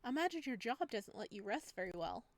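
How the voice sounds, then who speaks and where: Visual novel cabin host played by a woman: caring, enthusiastic, teasing male, light high-ish voice, close dry recording. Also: big pitch swings, quick pace, no echo. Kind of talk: visual novel character reading.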